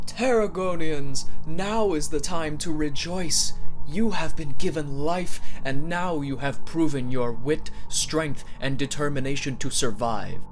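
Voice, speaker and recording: A man playing a male archangel, grandiose, proclaiming, high voice, storybook reading. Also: measured pace, hardly any pauses, faint music behind, natural voice, poor audio. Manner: lofty, proclaiming.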